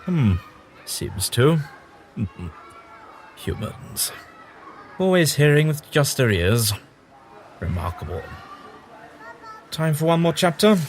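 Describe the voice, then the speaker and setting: An actor playing a whimsical alien traveller who muses aloud to himself in a sci-fi audio drama. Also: male voice, on the high side, big pitch swings, takes it slowly, long pauses, slight background noise. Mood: amused, musing. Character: whimsical, musing alien traveller, amused by humans.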